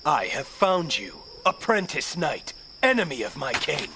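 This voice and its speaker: Dark solemn knight in a game, slow and menacing, loud music behind. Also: male voice, on the high side, sing-song. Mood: grim challenge. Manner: formal, menacing. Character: grim, solemn male knight challenger in armor. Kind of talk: video game cutscene acting.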